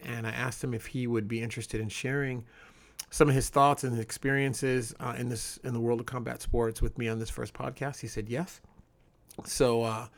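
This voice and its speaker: A man's voice: medium pitch, moderate pace, vocal fry. Upbeat man hosting a casual podcast. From Chicago. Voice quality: relaxed, upbeat with frequent fillers. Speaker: podcast host. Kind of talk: casual podcast intro and interview.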